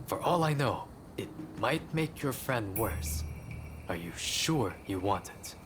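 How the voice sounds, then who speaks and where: An actor playing a reclusive samurai medical researcher in a video game, courteous, wry and measured in his speech. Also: fairly low pitch, very animated, noisy background. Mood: cautious, courteous. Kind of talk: dramatic video game acting.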